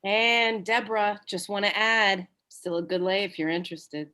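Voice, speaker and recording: A woman's voice: mid-range voice, fairly even intonation, moderate pace, bright, forceful tone, lightly acted. A woman reading a cocky, pushy male Hollywood agent in a comedy script. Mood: pleading, brazen. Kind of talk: comedy script table read.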